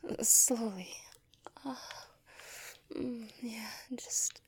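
A woman's voice: on the high side, steady tone, extremely slow, darkish tone, a little hiss or hum. A young woman speaking in an intimate audio roleplay, whispering breathily and sighing between words.

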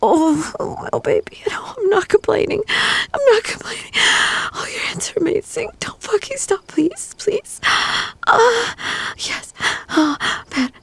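Woman, high-pitched, breathy whispering with moans, creaky edges, intimate erotic roleplay. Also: very animated, a little slow, fairly bright tone, dry recording. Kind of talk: intimate erotic audio roleplay, addressed to a partner.

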